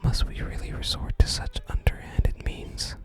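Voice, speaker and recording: An ASMR host whispers through a video game, with no voiced tone at all. The delivery is breathy, soft and unhurried, moving between reading game text and murmured commentary. Music plays behind the whisper.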